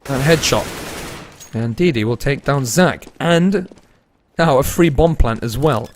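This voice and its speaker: A young man casting an esports match, laid-back and tired between plays, rising to fast, excited calls when the action heats up. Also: medium pitch, a little hiss or hum. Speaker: esports caster. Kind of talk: live esports commentary mixed with chatting to viewers.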